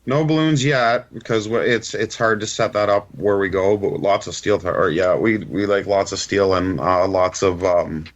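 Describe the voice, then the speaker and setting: A man's voice: low voice, normal speed, few pauses, lo-fi sound. A middle-aged man, a father, chatting casually as an interview guest. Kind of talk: casual chat.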